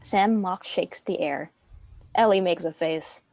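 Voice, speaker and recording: A woman's voice: lowish voice, takes it slowly, darkish tone, no echo. A woman reading stage directions as scripted narration for a dramatic script reading.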